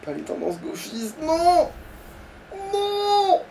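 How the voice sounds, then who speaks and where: A man giving excitable, grumbling gaming commentary peppered with swearing. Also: extremely high pitch, extremely slow, creaky. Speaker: let's play player. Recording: distant mic, background noise.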